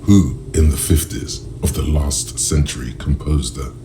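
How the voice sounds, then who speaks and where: A man playing a brooding, sardonic inner voice that narrates a game in measured, literary phrases. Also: very deep voice, mellow, slight background noise. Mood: musing. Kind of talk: scripted narration. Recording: music behind the voice.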